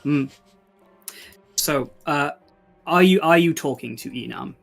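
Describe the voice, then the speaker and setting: Creaky-voiced male game master. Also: on the high side, slow pace, faint music behind. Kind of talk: casual tabletop game narration.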